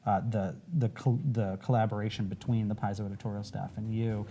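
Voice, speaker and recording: A man's voice: lowish voice, level tone, a little fast, warm tone, slightly rough. Middle-aged man hosting a conversational interview. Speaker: host, game company creative director.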